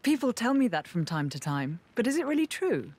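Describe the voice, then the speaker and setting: An actress voicing a friendly, modest, easygoing young heroine in a video game, with a casual, light manner. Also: female voice, fairly high pitch, big pitch swings, brisk, darkish tone. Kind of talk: video game cutscene acting.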